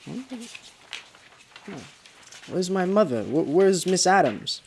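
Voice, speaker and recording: Actor as haunted, halting man over background noise. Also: sits high, lively intonation, a little mellow, full character voice. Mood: confused, alarmed. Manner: halting, mutters to himself. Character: frightened, exhausted male driver haunted by a phantom. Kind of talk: radio drama monologue and dialogue.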